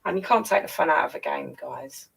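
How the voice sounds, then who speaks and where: Female children's storyteller, playful and chatty, animated intonation with some creak, recorded at a slight distance. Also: sits low, brisk. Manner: playful, addresses listeners with questions and tag questions. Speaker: storyteller reading a picture book to young children. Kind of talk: read-aloud story time with chatty asides.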